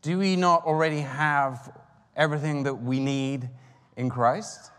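A man's voice: a little high, fairly even intonation, unhurried, a bit bright, clean tone, in a large hall. A man in his fifties preaching a sermon in a chatty, self-deprecating way, with rambling asides. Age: 50s.

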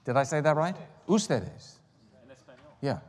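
A man's voice: a little high, lively intonation, fairly quick, long reverb tail. A man in his fifties preaching a sermon in a chatty, self-deprecating way, with rambling asides. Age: 50s.

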